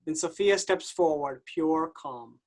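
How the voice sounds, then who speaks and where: A woman with a low-pitched voice narrates a play. She reads scripted stage directions aloud between the actors' parts. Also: slow pace, a little mellow.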